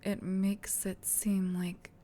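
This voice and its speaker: A woman voicing a female sleep paralysis demon in a breathy, hushed, intimate whisper for an ASMR roleplay. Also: mid-range voice, flat delivery. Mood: gentle, soothing. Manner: slow, teasing, hushed, intimate. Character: female sleep paralysis demon, menacing tease turned flustered gentle comforter.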